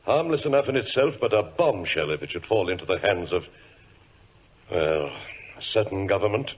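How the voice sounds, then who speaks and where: Casting: grave senior minister, husky, animated delivery, commanding and confidential, muffled old radio drama. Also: male voice, low voice, normal speed. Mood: solemn, secretive. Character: grave senior government minister, authoritative uncle. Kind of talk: 1940s radio acting. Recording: muffled vintage radio recording.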